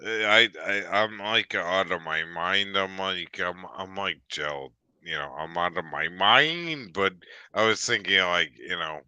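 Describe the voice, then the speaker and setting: Slow-talking rambling older man. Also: low voice, bright, forceful tone, clear, resonant tone, no room sound. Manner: rambling, halting, many restarts and fillers. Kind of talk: casual chat.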